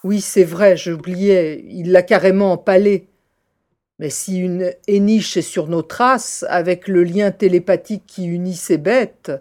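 A woman's voice: lowish voice, steady tone, unhurried, a little mellow, close to an everyday voice. A woman playing a cold, scheming scientist villain in an audio drama, speaking in a curt, imperious manner. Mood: alarmed, commanding. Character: cold, scheming female scientist in a kidnapping plot.

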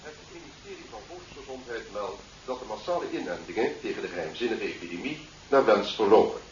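Man playing a lively, echoey newsreader. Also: fairly low pitch, close to an everyday voice. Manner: formal, emphatic announcing. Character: formal, authoritative government-line newsreader. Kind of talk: scripted news bulletin in radio drama. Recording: echoey, dull, hissy old broadcast.

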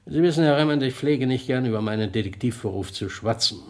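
An actor playing a stately, shrewd New York detective with a deliberate, confiding manner in a vintage German radio crime play. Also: male voice, mid-range voice, lightly acted. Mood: confidential.

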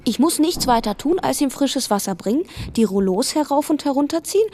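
A woman's voice: high-pitched, lively intonation, brisk, darkish tone, a little hiss or hum. An actress playing a teenage housemaid who tells of her summer job in a radio play in a matter-of-fact way. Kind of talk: radio drama monologue.

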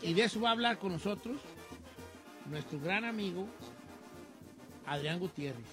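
A man's voice: high-pitched, lively intonation, moderate pace. A man with a Mexican accent hosting a comic radio show in a folksy, teasing, exaggerated way. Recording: music playing behind the talk. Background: background music.

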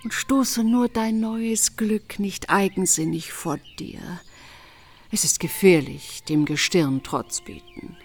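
Ominous, slow-spoken fortune teller. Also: female voice, mid-range voice, steady tone. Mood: solemn warning. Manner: slow, solemn warning. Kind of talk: literary radio play acting.

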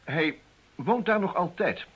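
An actor playing a self-assured, urbane private detective in a radio play, with a teasing, wry manner. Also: male voice, high-pitched, animated. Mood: reporting. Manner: urbane, teasing, hesitant little pauses. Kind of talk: Dutch radio play acting. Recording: muffled, faintly noisy old radio recording.

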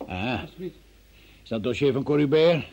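An actor playing a forceful police investigator in a radio drama, formal, probing and theatrical in his delivery, with a husky voice. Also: medium pitch, animated, a little slow, highs cut off, noisy background. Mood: measured, dry. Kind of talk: Dutch radio drama acting.

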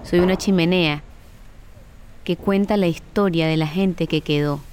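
A woman narrating a poetic documentary radio piece with measured, deliberate phrasing. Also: on the low side, steady tone, darkish tone, smooth.

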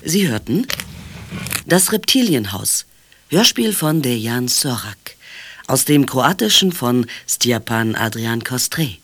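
A radio announcer delivers scripted credits in a measured, formal way. The pace is slow and the intonation lively. The voice has a husky edge. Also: mid-range voice.

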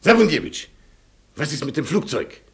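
An actor playing a proud, stubborn aristocratic Polish colonel in a radio play, haughty and curt. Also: male voice, fairly high pitch, very animated, normal speed. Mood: commanding, impatient. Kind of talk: theatrical radio play acting.

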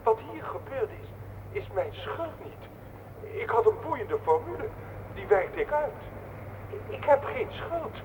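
A man's voice: very high voice, sing-song, measured pace, exaggerated voice. An actor playing a guilt-ridden male scientist in a radio play, defensively and repetitively insisting on his innocence. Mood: anguished, defensive. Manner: defensive, repetitive, self-justifying. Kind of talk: dramatic radio play acting. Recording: noisy old radio drama recording.

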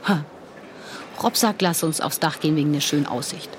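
An actress playing a sceptical adult investigator in a radio drama, questioning in a probing, insistent way. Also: female voice, sits low, a little fast, a little hiss or hum, natural voice. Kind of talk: naturalistic German radio drama acting.

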